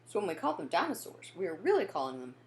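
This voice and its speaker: A woman voicing an informative museum guide in a children's storybook, with a measured, explanatory manner. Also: fairly low pitch, wildly swooping pitch, a little mellow, slight background noise. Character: museum guide, informative, defensive when challenged. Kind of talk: children's storybook character dialogue.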